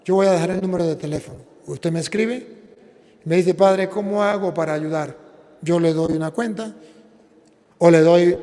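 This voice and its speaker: Venezuelan priest preaching in a reverberant hall. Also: male voice, high voice, dark tone. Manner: preaching with slow, emphatic stresses, then plain appeal. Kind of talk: sermon and prayer.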